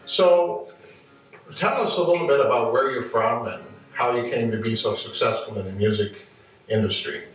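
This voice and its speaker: A man's voice: medium pitch, moderate pace. A male host with a mid-range voice introduces his guest and asks friendly questions. He sounds a little far from the mic, in a very reverberant room. Faint music plays underneath. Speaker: talk show host. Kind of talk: interview hosting.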